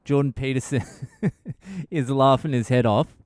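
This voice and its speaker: A male comedian hosts his own podcast and retells a story in a crude, profane way, laughing as he goes. His voice is smooth and clear. The sound is dry and close. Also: medium pitch, moderate pace, darkish tone, no echo. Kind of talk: comic storytelling monologue.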